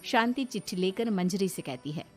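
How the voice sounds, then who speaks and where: Woman reading out a cartoon story, normal pitch, bit of music behind. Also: lively intonation, moderate pace, darkish tone. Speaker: narrator. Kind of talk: scripted storytelling narration.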